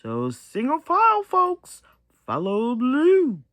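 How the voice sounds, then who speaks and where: A woman's voice: wildly swooping pitch, takes it slowly, fairly bright tone, dry recording, exaggerated voice. A woman voicing a grand male mayor giving a rousing speech in a picture-book story. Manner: booming, speechifying.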